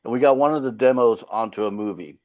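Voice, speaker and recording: Man, born in Finland, first language Finland Swedish, mid pitch, casual storytelling, cursing, dull lo-fi sound. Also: quick pace. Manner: loose, profane storytelling. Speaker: singer, guest. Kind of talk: casual interview chat.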